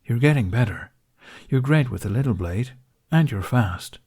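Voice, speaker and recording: A man's voice: mid-range voice, lively intonation, moderate pace, darkish tone, husky, voice pushed up. A man voicing an earnest, protective male dragon in an audiobook, insistent and emphatic. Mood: reassuring.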